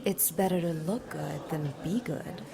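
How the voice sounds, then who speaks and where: A woman's voice: deep voice, measured pace, gravelly. An actress playing a seductive, haughty female demon with a sultry, coolly menacing manner in an audio drama. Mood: teasing, seductive. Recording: restaurant clatter and chatter behind.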